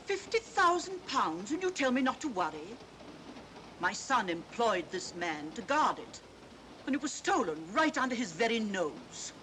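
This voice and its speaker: An actress playing a haughty, indignant older aristocrat, imperious in manner, in an old mystery film. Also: female voice, fairly high pitch, sing-song, unhurried, sharp tone, heavily acted, low-quality recording. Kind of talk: 1940s mystery film acting. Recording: hissy old film soundtrack.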